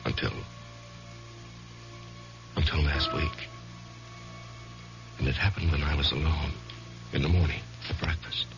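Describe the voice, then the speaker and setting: An actor playing a troubled, ambitious lawyer in his forties, measured and hesitant, in an old-time radio mystery. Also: very deep voice, fairly even intonation, over music. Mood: reflective. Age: 40s. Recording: dull vintage radio recording.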